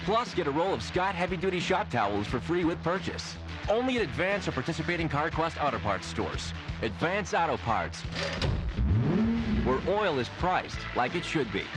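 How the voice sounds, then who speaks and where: A man's voice: fairly high pitch, very animated, normal speed, poor audio. A man reading a scripted retail radio commercial. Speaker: commercial announcer. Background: music.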